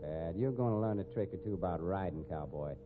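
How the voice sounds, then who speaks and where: An actor playing a gloating, bullying rodeo gambler in a 1950s radio drama, with American accent and rough slang. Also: male voice, deep voice, expressive, fast talker, narrow, tinny audio, music in the background. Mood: taunting. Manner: taunting, rough ungrammatical slang. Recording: thin, noisy vintage radio recording.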